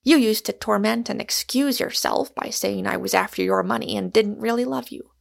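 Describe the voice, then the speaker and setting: A woman's voice: mid-range voice, normal speed, gravelly. A woman voicing an exuberant, argumentative Irishman, a storybook suitor who declaims with great emphasis. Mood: earnest, insistent.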